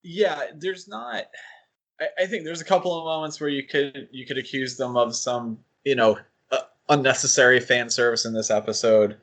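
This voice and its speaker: A man's voice: mid-range voice, moderate pace, fairly bright tone. A man co-hosting a podcast, thinking aloud in a casual fan discussion and often qualifying what he says. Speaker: podcast co-host.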